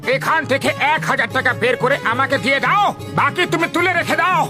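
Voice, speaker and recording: Excitable cartoon simpleton: high voice, very animated, theatrical delivery. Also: brisk. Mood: demanding. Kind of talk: exaggerated cartoon dub acting. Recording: loud music behind. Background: loud cartoon music.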